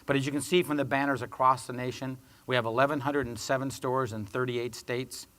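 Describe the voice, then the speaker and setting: A man introducing himself as a panelist, a company sustainability director, giving a steady, businesslike presentation. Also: mid-range voice, fairly quick.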